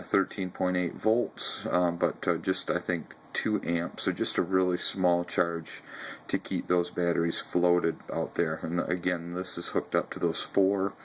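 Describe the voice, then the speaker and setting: A man with a very low, level voice talks through his battery setup while moving from garage to basement. He pauses often, fills gaps with uhs, and corrects himself as he goes. The camera mic catches a faint hiss behind him. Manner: halting, frequent ums and uhs, self-correcting. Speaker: video blogger showing his ham radio setup. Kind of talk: casual walkthrough narration.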